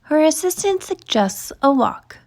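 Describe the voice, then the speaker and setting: A woman reading a children's picture book aloud in an expressive, deliberate storytelling manner, stretching words for emphasis. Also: high voice. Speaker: storytime reader.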